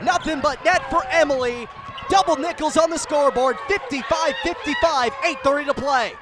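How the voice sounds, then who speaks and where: A man's voice: very high voice, moderate pace, a crowd in the background, noisy background. An American man doing live radio sports play-by-play in emphatic, clipped phrases. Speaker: play-by-play commentator.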